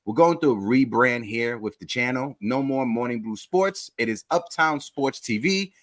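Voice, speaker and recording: A man in his thirties hosting a casual sports talk livestream, giving upbeat shout-outs to viewers in the chat. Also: medium pitch, animated, moderate pace. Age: 30s. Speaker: podcast host.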